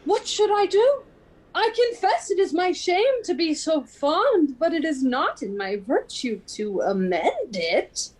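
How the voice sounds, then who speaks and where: Very high lovesick gentleman played by a woman. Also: very animated, a little slow, heavily acted, poor audio. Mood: despairing. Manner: melodramatic, plaintive. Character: lovesick, foolish, despairing young Venetian gentleman, male. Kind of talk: Shakespearean radio play acting.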